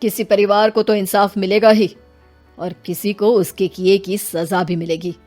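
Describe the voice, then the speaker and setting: A woman playing a calm, authoritative senior police officer in an acted story. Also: medium pitch, measured pace, soft background music, close to an everyday voice. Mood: calm, official. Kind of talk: acted Hindi audio story dialogue.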